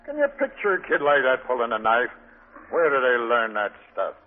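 An actor playing a blunt, wary New police officer with a husky voice in a 1940s radio drama. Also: male voice, medium pitch, huge pitch swings, normal speed. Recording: echoing, muffled old radio broadcast.

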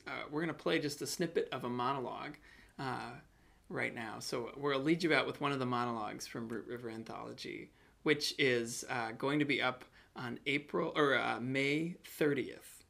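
Man hosting a podcast, creaky voice. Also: medium pitch, bright, forceful tone. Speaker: podcast host. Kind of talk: casual interview and sign-off.

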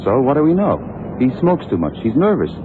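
An actor playing an eager, slightly slow FBI sidekick, breezy and colloquial, in an old radio drama. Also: male voice, medium pitch, huge pitch swings. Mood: admiring. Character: eager, slower-witted male FBI sidekick. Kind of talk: 1970s radio drama acting. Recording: very noisy, tinny old radio recording.

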